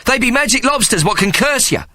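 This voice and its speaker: A man voicing a scrappy small boy who talks indignantly in rough street grammar. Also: extremely high pitch, lively intonation, a little fast, pushed and bright, no room sound, pitched above the performer's own voice, full character voice.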